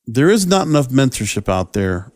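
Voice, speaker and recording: A man's voice: mid-range voice, sing-song, normal speed, darkish tone, no room sound, studio quality. A man hosting a solo podcast, giving conversational opinion commentary on entertainment news, often asking rhetorical questions and rephrasing himself mid-sentence. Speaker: podcast host.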